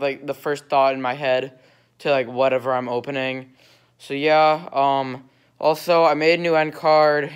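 A man's voice: medium pitch, clean tone. Stuffy teen boy rambling to camera. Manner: rambling, lots of fillers. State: stuffy from a cold. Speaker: youtuber. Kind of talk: casual vlog outro. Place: indoor living room at night. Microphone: phone held low at arm's length.

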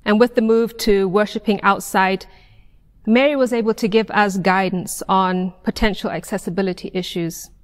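Female pastor, American, middle-aged, mid-range voice, deliberate sermon delivery, long church reverb. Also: mid-range voice. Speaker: pastor preaching. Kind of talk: sermon, read from notes. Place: pulpit in a stone church sanctuary. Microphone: lapel mic.

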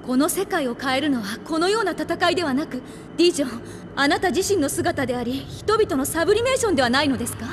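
High-voiced, idealistic young woman. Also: expressive, fast, noisy background. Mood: earnest pleading. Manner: earnest, intense, insistent. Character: idealistic, defiant young woman scientist, elder sister. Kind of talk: dramatic Japanese anime game acting.

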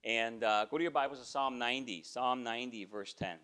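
Man in his forties, pastor, casual preaching style, frequent "okay?", small church sanctuary, mid-pitched voice. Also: a little fast, clear, resonant tone. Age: 40s. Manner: conversational preaching, frequent "okay?" check-ins, animated gestures. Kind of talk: sermon.

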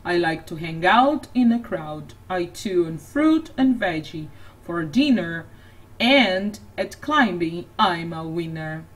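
A woman's voice: on the low side, animated, slow, far from the mic, voice pushed down, rough recording. A woman voicing a patient father who tells riddles to his daughter. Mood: coaxing.

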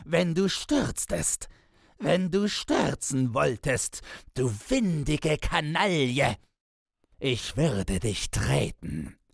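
A man's voice: fairly high pitch, big pitch swings, drawn-out words, gravelly, no room sound, studio quality, voice pushed up, heavily acted. A man voicing an enraged, indignant man who bellows helpless curses in a dramatised literary story. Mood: screaming rage. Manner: bellowing, ranting in exclamations and insults.

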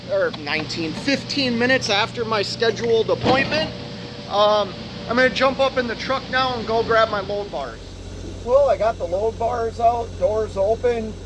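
A man, a truck driver vlogging casually in a relaxed, rambling way while feeling tired and unwell. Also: extremely high pitch. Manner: relaxed and rambling, many ums. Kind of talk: casual vlog narration. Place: truck cab and outdoor truck lots. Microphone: phone or dash camera. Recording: noisy outdoor truck yard audio. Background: engine and traffic noise.

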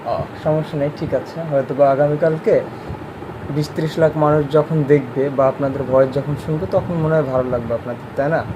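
A man's voice: on the high side, steady tone, a little fast. A young man, a content creator talking casually on a phone call and to viewers, politely admonishing. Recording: clearly noisy background hum.

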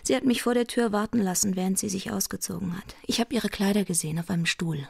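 Radio drama: shrewd secretary, heavy vocal fry, husky, knowing, closely recorded. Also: female voice, medium pitch, quick pace, dry recording, studio quality. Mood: suspicious, knowing. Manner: knowing, probing, frank. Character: shrewd, teasing female secretary of private detective. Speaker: actress in a radio crime drama.

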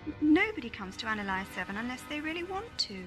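A sad, weary young-sounding immigrant in a sci-fi audio drama, acted by a woman. Her high voice is hesitant and plaintive, lively in its rises and falls, with music behind it. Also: fast. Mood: naive, wistful. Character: dejected, weary, naive female immigrant. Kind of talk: science fiction audio drama acting.

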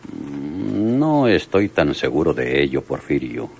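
An actor playing a cautious, grave Mexican police lieutenant in a radio drama, speaking with deliberate authority. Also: male voice, extremely low pitch, sing-song, a little mellow, slight background noise, heavily acted. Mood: grave, advising.

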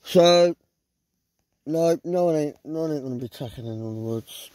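A man's voice: a little high, moderate pace, a little mellow, dry recording. A middle-aged man with a southern English accent, rambling bluntly and with plenty of swearing in a casual handheld vlog. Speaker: vlogger. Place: inside a small tent in the woods at night. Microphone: handheld phone at close range.

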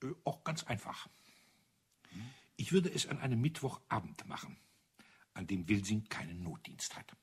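An actor playing an old retired officer in a crime radio play, telling things in a deliberate, matter-of-fact, bitter way with a husky voice. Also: male voice, fairly low pitch, sing-song, full character voice. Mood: dry, explanatory. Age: older.

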